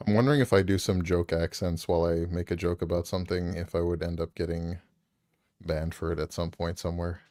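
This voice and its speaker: A man's voice: low voice, little pitch movement, fairly quick, mellow, no echo, clean studio sound. A man guesting on a casual chat, wisecracking and fond of doing joke voices.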